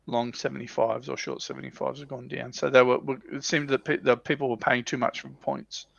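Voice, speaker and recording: A man giving his opinions in casual, halting chat as a panelist on a hobby livestream, often rephrasing as he goes. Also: medium pitch, lively intonation, creaky, fairly bright tone, no room sound, highs cut off. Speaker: livestream panelist on a tabletop wargaming show.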